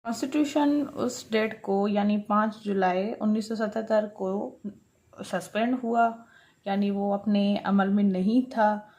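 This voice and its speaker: A woman's voice: medium pitch, unhurried, darkish tone. A woman giving an explanatory law lecture, mixing in English terms and often restating her points. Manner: repeats and rephrases points, checking in with the listener.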